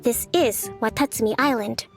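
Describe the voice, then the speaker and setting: A woman playing a composed, gentle young female leader in a video game, speaking with measured, polite authority. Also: fairly high pitch, faint music behind, close to an everyday voice. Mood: calm, diplomatic.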